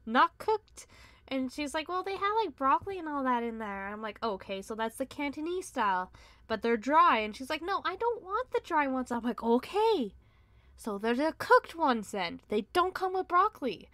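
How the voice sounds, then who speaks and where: Woman, high bright voice, chatty podcast storyteller, dry sound. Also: normal speed, no room sound. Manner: lively storyteller, mimics callers, laughs often. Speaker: podcast co-host. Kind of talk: casual chat, storytelling.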